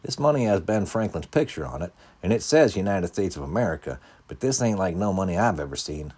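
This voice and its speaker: A man's voice: low voice, lively intonation, fairly quick, darkish tone, slightly rough. A man voicing a kind, folksy woman cashier in an audiobook story, speaking with homespun turns of phrase. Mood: suspicious.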